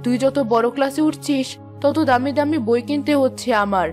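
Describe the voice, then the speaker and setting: A woman's voice: sits high, moderate pace, a little mellow, soft background music, lightly acted. A woman voicing a weary, struggling mother in a cartoon, plaintive and worn down. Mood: weary, firm. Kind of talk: cartoon voice acting.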